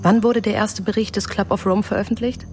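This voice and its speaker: A woman's voice: mid-range voice, steady tone, a little fast, over music, close to an everyday voice. An actress in a radio drama playing a combative female campaigner who keeps challenging a banker. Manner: pointed, challenging, insistent. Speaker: activist critic at a forum.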